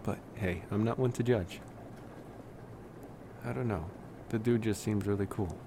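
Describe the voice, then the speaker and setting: Man playing a slow, gravelly college vampire guy. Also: on the low side, noisy background. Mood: casual, wry. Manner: relaxed, hesitant rambling with sighs and pauses. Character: laid-back, rambling college-age male vampire, affectionate and wry. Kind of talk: ASMR roleplay, intimate casual monologue.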